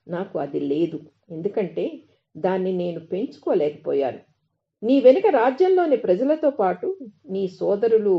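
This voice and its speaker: A woman reading the part of a proud demon king who is dying and regretful in defeat, in solemn, measured storytelling. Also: medium pitch. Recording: dull, lo-fi recording.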